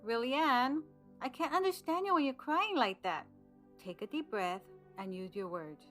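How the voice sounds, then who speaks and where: An actress playing a protective, anxious mother in an audio drama, expressive and full of concern. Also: high voice, a little slow. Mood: tender concern. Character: protective, anxious mother, tender with her child. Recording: music under the voice. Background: music.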